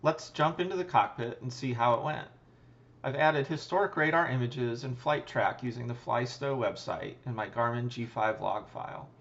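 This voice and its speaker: Male pilot-narrator, mid-pitched, measured and technical scripted read, matter-of-fact, on a slightly muffled recording. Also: gravelly, fairly bright tone. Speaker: pilot and channel host. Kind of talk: scripted narration, flight review voice-over.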